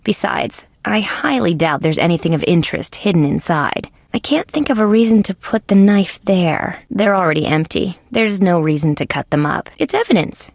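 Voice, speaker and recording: An actress playing a wry, level-headed female insect detective in a scripted video game, with a deadpan, dryly sarcastic manner. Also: lowish voice, moderate pace, vocal fry, hardly any pauses, no room sound, studio quality. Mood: matter-of-fact. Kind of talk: scripted adventure game voice lines.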